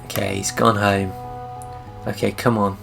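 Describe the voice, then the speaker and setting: Guy commenting on a game, dry grumbly jokes, gravelly voice, music faint behind. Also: lowish voice, flat delivery, unhurried, fairly bright tone. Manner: wry, grumbling asides. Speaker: gaming commentator. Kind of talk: casual gameplay commentary.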